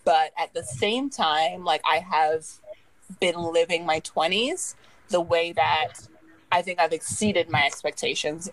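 A woman in her twenties talks through her thoughts on a casual podcast, with a voice that sits a little low. She rambles and scatters "like" through nearly every sentence. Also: unhurried, poor audio. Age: 20s. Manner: rambling, leans heavily on "like". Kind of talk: casual chat.

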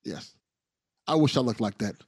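Man, podcast host, husky tone, bouncy lively pitch, dry close mic. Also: normal speed, dry recording. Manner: hyped, swears, playful. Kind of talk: casual chat.

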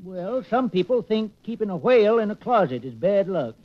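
An actor playing a plainspoken country farmer with a rural American accent in 1940s radio comedy, telling homespun stories deadpan. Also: male voice, high-pitched, moderate pace. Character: dry, countrified New England farmer, slow-witted and plainspoken. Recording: dull old-time radio recording.

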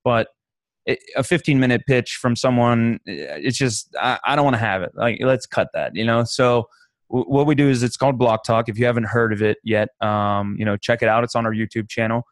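A man's voice: medium pitch, brisk, no room sound, studio quality. Joking, profane male podcast host. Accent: Pittsburgh. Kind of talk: casual podcast banter.

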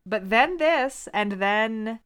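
A woman's voice: on the high side, lively intonation, slow pace, fairly bright tone, clean tone. Playfully argumentative woman podcaster. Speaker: podcast co-host. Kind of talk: casual banter.

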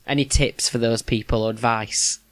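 A man's voice: medium pitch, fairly even intonation, moderate pace, no echo. Yorkshire man hosting a casual podcast. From England. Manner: chatty, chuckling, with many restarts. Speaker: podcast host. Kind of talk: casual interview chat.

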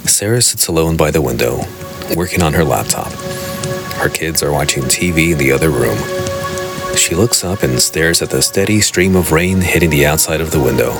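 A man narrating a spooky radio play in a solemn storytelling style. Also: a bass voice.

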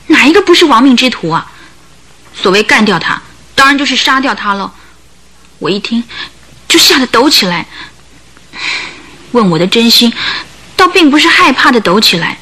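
An actress playing a bitter, anguished circus owner's wife in a Chinese radio drama, speaking with dramatic emphasis. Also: female voice, fairly high pitch, pushed and bright, full character voice.

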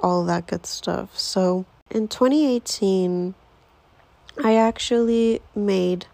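A woman's voice: medium pitch, vocal fry, darkish tone, no echo. A woman in her twenties hosting her own podcast, chatting casually in a rambling way with lots of fillers. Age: 20s. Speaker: podcast host. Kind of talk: casual solo podcast intro.

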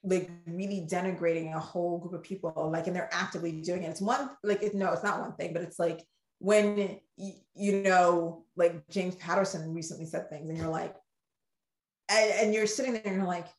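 A woman guest on a podcast, talking in a loose, animated, rambling way and acting out the things people say to her. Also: fairly low pitch, normal speed. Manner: animated, rambling, acts out quoted exchanges. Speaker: romance author guest. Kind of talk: casual podcast chat. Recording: roomy echoey room sound.

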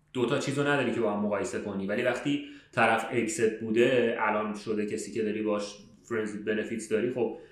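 Low-voiced Iranian man chatting, roomy sound. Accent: Iranian Persian with English loanwords. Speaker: podcast co-host. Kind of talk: casual chat, giving opinions.

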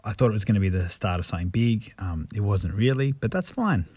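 A man's voice: fairly low pitch, expressive, fast, a little mellow, slightly rough, no echo. An Australian man hosting a podcast informally, with a dry, understated delivery. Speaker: podcast host. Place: under a bed sheet at home.

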